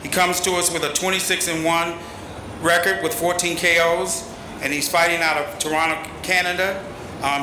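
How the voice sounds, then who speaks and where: A middle-aged man reading scripted announcements as emcee at a podium. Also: fairly high pitch. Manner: formal, scripted delivery. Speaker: press conference emcee. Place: large arena press conference stage. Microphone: podium microphone. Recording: echoey hall with noise.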